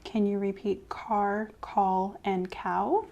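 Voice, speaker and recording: A woman doing a soft-spoken ASMR medical role-play as a clinician, gently reassuring and giving step-by-step instructions. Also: medium pitch, level tone, takes it slowly, vocal fry, highs cut off. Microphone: clip-on mic.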